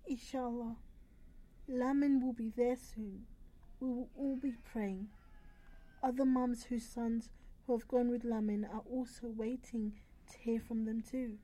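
A woman's voice: a little high, steady tone, a little slow, warm tone, poor audio. An actress playing a caring, devout friend who comforts another woman in an audio drama. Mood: soothing, sympathetic. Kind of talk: radio drama acting.

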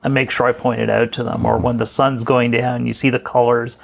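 Gravelly-voiced man on a podcast. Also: mid-range voice, little pitch movement, brisk. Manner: affirming, gives opinions. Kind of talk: casual podcast discussion.

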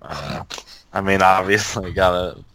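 A man's voice: low voice, moderate pace, fairly bright tone, a little hiss or hum, rough recording. A man giving plain, casual answers as a guest on a gaming podcast. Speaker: podcast guest and champion gamer. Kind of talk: casual chat.

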